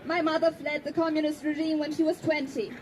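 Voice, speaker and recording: High-pitched woman giving a speech. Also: level tone, darkish tone, slight background noise. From Germany. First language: German. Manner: earnest, pointed, slows down for emphasis. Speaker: activist speaker. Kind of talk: prepared speech to an audience.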